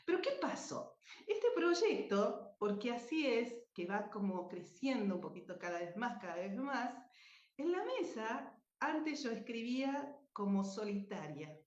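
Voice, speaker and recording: A woman's voice: a little high, big pitch swings, unhurried. An Argentine woman giving an informal, effusive, anecdotal talk as a presenter. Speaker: radio drama writer and speaker at a book fair. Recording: dull, echoey lo-fi room sound.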